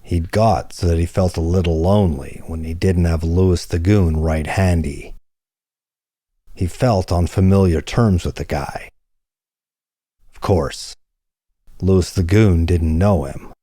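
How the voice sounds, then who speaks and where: Guy reading an old crime story, low mellow voice, takes his time, super dry recording. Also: slow pace, pauses often, no room sound. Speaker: audiobook narrator. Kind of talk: scripted narration of hardboiled crime fiction.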